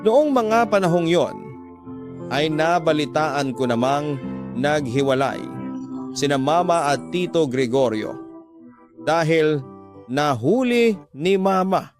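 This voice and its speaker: A man with a fairly high voice reads a confessional letter as a radio drama narrator. His delivery is scripted and dramatic, and music plays behind him. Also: animated, unhurried, pauses often. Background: background music.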